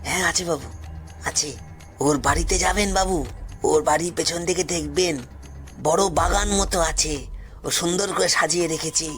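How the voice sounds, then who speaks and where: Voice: medium pitch, moderate pace, long pauses, faint music behind, close to an everyday voice. Performer doing a pleading, anguished poor forest tribesman. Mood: insistent pleading. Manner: pleading, repeats phrases insistently. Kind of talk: dramatised audio story acting.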